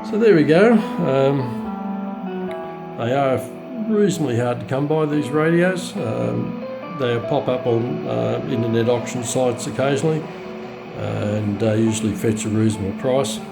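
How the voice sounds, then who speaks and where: A man giving a casual, unscripted product demonstration as a hobbyist collector, speaking haltingly with very frequent ums and pauses. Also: medium pitch, gravelly, loud music behind the voice, rough recording. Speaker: radio collector presenting a receiver.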